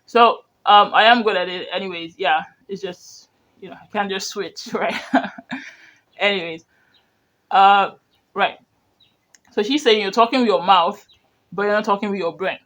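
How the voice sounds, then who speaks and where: High-voiced man. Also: moderate pace, pauses often, sharp tone. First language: Yoruba. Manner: rambling, earnest, full of "you know" and restarts. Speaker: media commentator. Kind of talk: reaction commentary on video clips.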